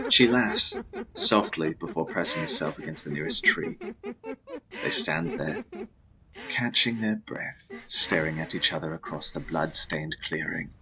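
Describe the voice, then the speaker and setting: A man with a deep, husky voice giving a dramatic scripted narration of a gothic story. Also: rough recording.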